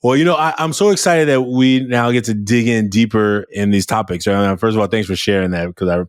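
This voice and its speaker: Host, male, low loud voice, animated chat, dry close sound. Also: fairly quick, clean tone, dry recording. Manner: animated, restarts often, loud voice. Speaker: podcast host. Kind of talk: casual interview chat.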